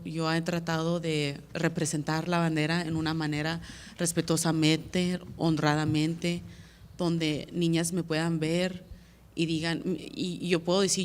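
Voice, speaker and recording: A woman's voice: low voice, long reverb tail. An American woman fighter answering reporters' questions at a media day, earnest and plainspoken, often repeating words as she searches for them. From United States. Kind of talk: press conference answers.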